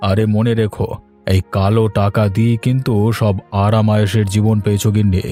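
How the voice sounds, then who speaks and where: An actor playing a miserly, irritable middle-aged village husband, grumbling and sarcastic, in a children's folktale cartoon. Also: male voice, on the low side, flat delivery, brisk, dark tone, close to an everyday voice. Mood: greedy, smug. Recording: music under the voice.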